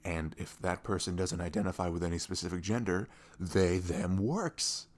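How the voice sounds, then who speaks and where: A young man playing a sweet, caring boyfriend in an intimate ASMR roleplay, rambling casually in slangy, animated speech. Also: sits low, normal speed, natural voice. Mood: animated, exasperated. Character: sweet, caring, chatty boyfriend on a morning after. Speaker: audio roleplay voice actor.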